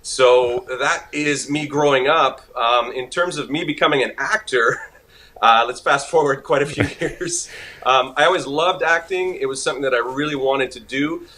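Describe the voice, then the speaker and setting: A man's voice: mid-range voice, normal speed, sharp tone. A man from Montreal whose first language is French, chatting as an interview guest and laughing easily. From Montreal, Canada. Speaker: guest actor. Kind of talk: casual interview chat.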